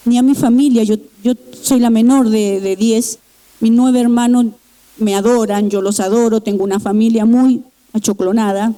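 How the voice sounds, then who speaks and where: A middle-aged woman from Chile, giving an earnest, halting personal testimony in church with pauses and restarts. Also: mid-range voice, dark tone, poor audio. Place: church sanctuary.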